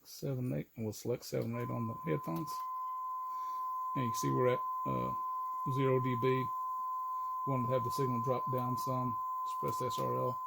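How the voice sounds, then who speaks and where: A man's voice: mid-range voice, flat delivery, normal speed, gravelly, long pauses, very noisy. An older man gives an informal hands-on demonstration in a matter-of-fact, step-by-step way. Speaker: equipment demonstrator. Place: indoor home room full of audio gear. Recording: music playing over the voice. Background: loud music.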